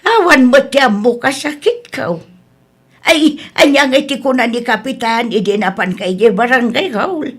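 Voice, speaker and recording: A woman playing a worried, caring old village grandmother with a husky voice in a radio drama. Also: on the high side, animated, normal speed. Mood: anxious, sympathetic. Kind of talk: radio drama acting in Ilocano.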